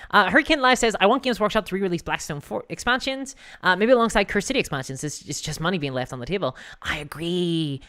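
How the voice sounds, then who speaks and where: A livestream host with a hoarse voice, chatting casually and self-deprecatingly about tabletop games and answering viewers. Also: medium pitch, animated, fast talker, hardly any pauses, fairly bright tone. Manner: giggly, laughs often while talking.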